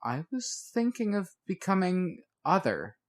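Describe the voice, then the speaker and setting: A man voicing a moody, self-doubting teenage girl who confides in a halting, slangy way. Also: high-pitched, very animated, voice pushed up. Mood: troubled, confessional.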